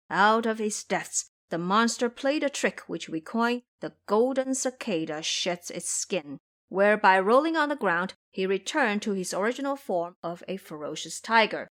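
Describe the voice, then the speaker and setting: A woman narrates an adventure story in a formal, literary style. Her delivery is dramatic and expressive, with pitch that moves widely.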